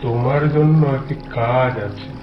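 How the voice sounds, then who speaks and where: A man voicing a calm, wise god in a cartoon, giving measured, solemn instruction. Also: mid-range voice, close to an everyday voice. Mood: calmly commanding. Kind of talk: cartoon voice acting. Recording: echoey, muffled, loud music underneath.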